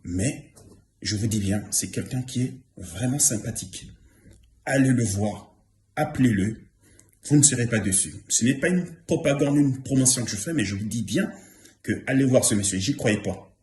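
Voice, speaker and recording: A man's voice: medium pitch, normal speed, a little mellow, a little hiss or hum. A man in his fifties giving a testimonial, earnest and repeating himself for emphasis. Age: 50s. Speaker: former trainee giving a testimonial. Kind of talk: spoken testimonial. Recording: roomy echo.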